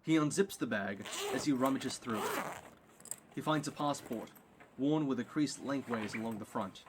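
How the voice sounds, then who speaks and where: A man narrates an audio play in a mid-pitched voice. He reads scripted prose, describing the scene and the action for the listener. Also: lively intonation, normal speed, noisy background.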